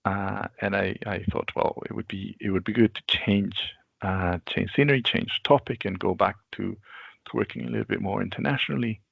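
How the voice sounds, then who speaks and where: Low gravelly man. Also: fairly even intonation, no room sound, highs cut off. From Venezuela. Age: 40s. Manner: measured, reflective storytelling. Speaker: guest expert. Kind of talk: webinar interview answer. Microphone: video call.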